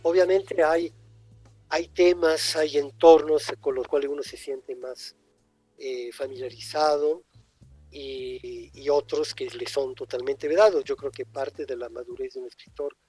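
An older man with a husky, mellow voice who speaks Spanish as a second language, his first being Italian. He answers interview questions thoughtfully, pausing and circling back as he searches for words. Soft music plays faintly behind him. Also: mid-range voice, steady tone. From born in Egypt, raised in Italy. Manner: reflective, pauses and restarts often. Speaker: writer guest.

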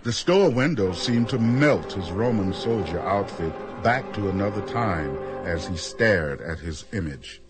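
A man's voice: sits low, lively intonation, measured pace, few pauses, music in the background. A man reading dramatic scripted narration for a radio play.

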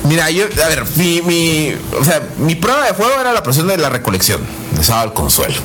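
A man, telling stories with a high, lively voice. A faint hiss of noise sits under him. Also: brisk. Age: 30s. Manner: animated storyteller, frequent "ajá" backchannels. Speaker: co-host. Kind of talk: casual chat.

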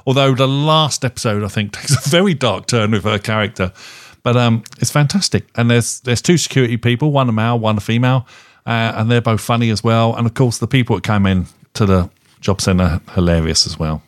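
A man co-hosting a podcast, chatting casually through a review in a halting way, often correcting himself. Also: medium pitch, no echo, studio quality. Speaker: podcast co-host.

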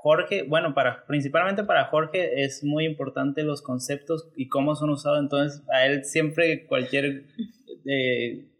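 A man with a slightly high voice. He moderates a casual podcast, halting and restarting his sentences while he pushes the talk forward. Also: steady tone, a bit bright. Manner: hesitant, halting, keeps the talk moving. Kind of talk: casual group chat.

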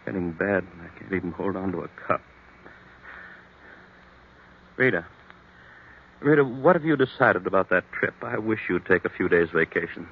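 Tormented husband in old radio thriller, lowish voice, hesitant and pleading, muffled old recording. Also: male voice, expressive, a little fast, creaky. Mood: apologetic, concerned. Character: anguished husband fearing he kills during memory lapses. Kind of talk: old-time radio mystery acting. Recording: dull, noisy vintage radio recording.

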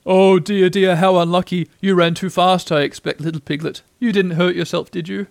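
A man's voice: high-pitched, steady tone, normal speed, creaky, no echo, studio quality, pitched above the performer's own voice. A man voicing a gloomy, self-pitying old donkey in a storybook, with a mournful, ponderous, repetitive way of talking. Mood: wistful.

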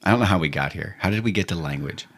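Casting: low-pitched American guy, loose rambling podcast talker, curses freely. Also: racing, husky. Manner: rambling, swearing, animated retellings. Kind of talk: casual chat.

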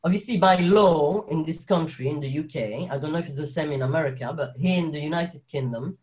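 A man's voice: a little high, a little fast, darkish tone. A young man with a French accent, a guest actor chatting in an interview, rambling with restarts and frequent laughs. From Belgium. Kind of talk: casual interview chat.

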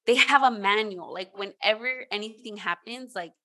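A woman's voice: mid-range voice, moderate pace, pushed and bright. A woman co-hosting a casual chat, rambling with lots of fillers and restarts. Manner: rambling, filler-heavy with many "like"s. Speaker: podcast co-host.

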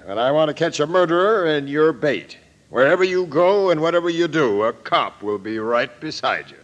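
An actor playing a businesslike, hard-nosed police captain with an American accent, dry in manner, in 1940s radio drama style. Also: male voice, on the high side, lively intonation, moderate pace, lo-fi sound, lightly acted. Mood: firm, dry.

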